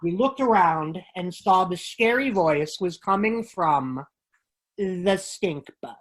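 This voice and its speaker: A woman reads children's books aloud in a fairly low voice. Her storytelling is expressive and measured, in the manner of a dramatic reading.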